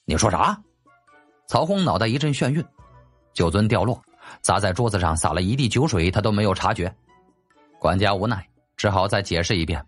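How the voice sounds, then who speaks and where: Expressive male audiobook narrator, animated pitch. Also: fairly quick, soft background music. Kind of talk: dramatic scripted storytelling.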